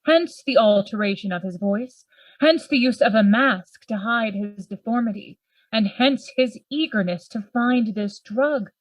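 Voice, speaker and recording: A woman playing a sober, rational Victorian gentleman in a Gothic radio play, speaking formally. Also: on the high side, no room sound, dull audio. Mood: reasoning. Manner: formal, measured, reasoning.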